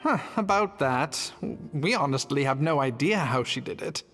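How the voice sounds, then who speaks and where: Earnest young kitchen worker. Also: male voice, a little high, animated, normal speed, fairly bright tone, soft background music. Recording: game audio with reverb.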